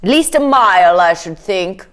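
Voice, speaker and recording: Storybook king, played by a woman, commanding, clipped, emphatic, self-assured, animated pitch. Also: normal speed, lightly acted. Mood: matter-of-fact.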